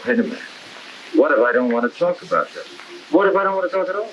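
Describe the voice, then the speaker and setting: Plain-spoken American dad, bewildered and protesting; quick, swooping delivery, vintage film. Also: male voice, fairly high pitch, sing-song, a bit bright, dull audio. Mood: indignant. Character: bewildered, indignant American family man in a dystopia. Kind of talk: 1960s propaganda film acting. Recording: hissy 1960s film soundtrack.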